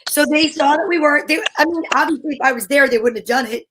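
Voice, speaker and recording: A woman talk show host chatting casually, telling stories in an animated, rambling way. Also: high voice, fast, background noise. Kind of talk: casual chat.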